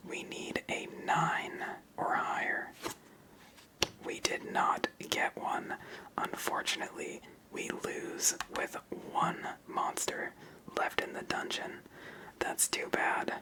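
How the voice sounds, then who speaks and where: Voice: sharp tone, rough recording. A host whispering ASMR commentary while playing a solo card game, explaining each move step by step in an unvoiced whisper. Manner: slow, step-by-step narration of moves.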